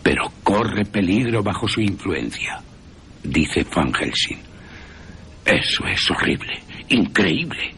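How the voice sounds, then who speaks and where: Deep, slow, suspenseful male storyteller. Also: expressive, vocal fry, bright, forceful tone, a little hiss or hum. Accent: Castilian Spanish. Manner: theatrical, suspenseful. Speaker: narrator. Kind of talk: dramatic radio horror storytelling.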